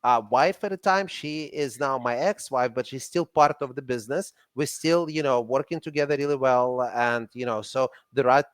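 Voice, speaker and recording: A man with a Russian accent talks as a guest on a business podcast. He is animated and repeats words when excited. The sound is dry and close, with no room around it. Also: mid-range voice, normal speed, few pauses, clear, resonant tone, no room sound. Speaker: guest, company CEO. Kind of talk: casual podcast conversation.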